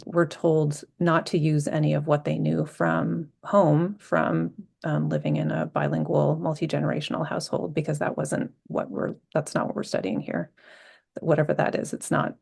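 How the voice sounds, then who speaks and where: Gravelly, halting woman panelist. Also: low voice, a little mellow. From Vermont. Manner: reflective, halting with many ums and restarts. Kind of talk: personal account on a panel.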